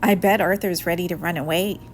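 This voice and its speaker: A woman's voice: lowish voice, steady tone, a little fast, a little hiss or hum, lightly acted. A woman voicing cheeky, teasing schoolchildren, classmates in a storybook reading. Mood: cheeky, envious. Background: music.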